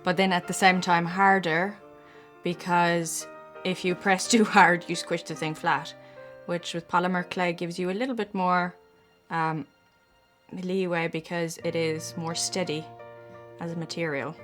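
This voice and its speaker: Woman, low calm voice. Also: measured pace, soft background music. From South Africa. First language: Afrikaans. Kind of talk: documentary interview.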